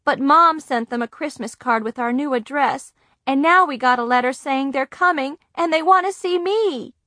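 A woman voicing an upset girl of about twelve who protests in a high, loud, tearful voice. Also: normal speed, no room sound, clean studio sound. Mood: flustered. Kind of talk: audiobook character voice.

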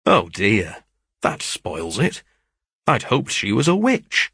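A man's voice: on the low side, wildly swooping pitch, takes it slowly, a bit bright, husky, dry recording, studio quality, full character voice. A man voicing a sulky, mischievous teenage brother in an audiobook of a classic novel, blunt and grumbling. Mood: mock disappointed.

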